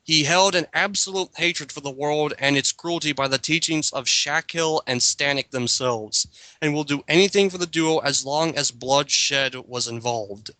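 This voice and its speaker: A man's voice: fairly high pitch, normal speed, sharp tone, dry recording, rough recording. A man reading a story aloud as a mock dramatic reading, breaking into casual banter and stumbling over long words. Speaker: reader in a group dramatic reading.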